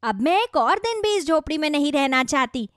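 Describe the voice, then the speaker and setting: A woman plays a materialistic young village wife from a cartoon moral tale. Her voice is high and swings widely as she insists and brags. The sound is close and dry. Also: lively intonation, fairly quick, dry recording. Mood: gleeful, boastful. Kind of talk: children's moral story cartoon.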